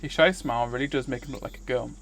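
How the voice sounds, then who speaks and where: A man's voice: medium pitch, fairly even intonation, very fast, a bit bright, slight background noise. A man streaming a visual novel, reading its narration aloud. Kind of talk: scripted narration read aloud.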